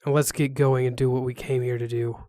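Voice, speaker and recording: A man's voice: mid-range voice, flat delivery, fairly quick, mellow. A man reading a first-person story as narrator, in the role of a ronin, with a wry, sarcastic manner. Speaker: narrator reading an interactive novel. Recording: music bed under the voice.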